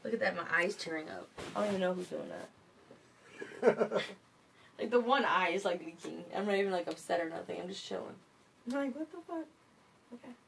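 A woman's voice: medium pitch, expressive, fairly quick, vocal fry, pauses often, a little hiss or hum, low-quality recording. A young woman from Michigan in casual late-night chat, rambling and swearing with lots of fillers. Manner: rambling, profane, filler-heavy. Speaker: vlogger. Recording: music playing behind.

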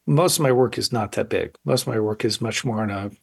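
Man in his sixties, husky voice, reflective, halting, searching for words. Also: fairly low pitch. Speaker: painter, interview guest. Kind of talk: reflective interview talk.

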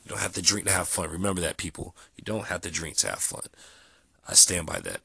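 Low husky young man, stuffy with a cold. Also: fast talker, no room sound. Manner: relaxed, rambling with many ums. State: sick, nasal and dried out. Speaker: vlogger. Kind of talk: casual audio log.

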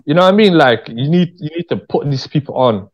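A man's voice: fairly high pitch, lively intonation, fairly quick. Candid man, slightly muffled recording. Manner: candid, slangy, emphatic with repeated phrases. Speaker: interview guest, screenwriter and director. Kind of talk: casual chat.

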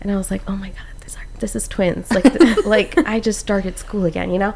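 A woman's voice: medium pitch, fairly quick, vocal fry, a little hiss or hum. A young woman from Costa Rica, a postpartum doula, telling her story in a casual chat, full of "like" and "um". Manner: animated storyteller, many "like"s and "um"s.

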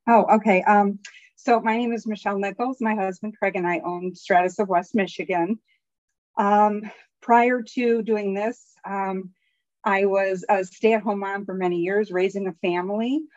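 A middle-aged woman, a business owner, talking through her story as a podcast guest with frequent pauses. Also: medium pitch, steady tone, darkish tone, dry recording, highs cut off. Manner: conversational, many "um" pauses. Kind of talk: podcast interview.

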